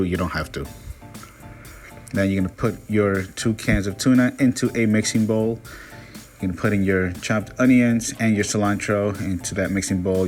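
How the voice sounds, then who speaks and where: A man from Peru hosting a cooking vlog, talking through a recipe in an upbeat, chatty way with small jokes at his own expense. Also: fairly low pitch. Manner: upbeat, chatty, self-joking. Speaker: cooking vlogger. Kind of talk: casual cooking tutorial.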